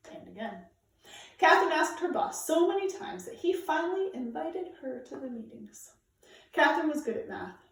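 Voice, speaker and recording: Echoey, higher-voiced woman reading aloud. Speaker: storybook reader. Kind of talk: scripted narration, reading a children's nonfiction book aloud. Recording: very echoey room, distant microphone.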